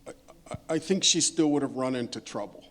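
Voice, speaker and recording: A man's voice: mid-range voice, a little fast. A middle-aged man speaking as an expert panelist in an emphatic, lecturing way, repeating phrases as he builds his point. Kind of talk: panel discussion, thoughtful commentary.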